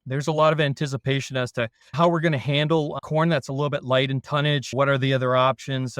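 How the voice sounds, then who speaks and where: A man's voice: mid-range voice, dry recording, studio quality. A man with a Canadian accent, a dairy consultant leading a casual podcast chat with self-deprecating jokes. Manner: self-deprecating, jokey, relaxed.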